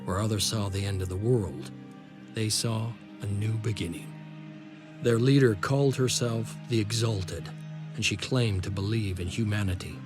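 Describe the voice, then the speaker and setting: An actor playing a solemn male chronicler, narrating a scripted video game cutscene with deliberate phrasing. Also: lowish voice, lightly acted. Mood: reflective. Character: solemn male chronicler of a fallen secret project. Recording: loud music behind the narration. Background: loud music.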